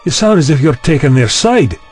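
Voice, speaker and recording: A man performs a university chancellor in a sci-fi radio play. He is blunt, questioning and tactless, with a fairly high male voice over a music bed. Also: sing-song, a little fast, a little mellow, slightly rough. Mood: amazed. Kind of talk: science fiction audio drama acting.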